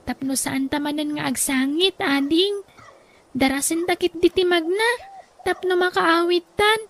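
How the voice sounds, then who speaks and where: An actress with an Ilocano accent playing a caring, dutiful young elder sister in a radio drama. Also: female voice, extremely high pitch, unhurried, a bit bright, exaggerated voice. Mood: gentle, consoling.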